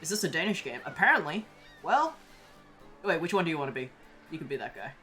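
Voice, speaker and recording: Low-voiced, lively woman streamer. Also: fast, soft background music. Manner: chatty and giggly. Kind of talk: casual let's-play chat.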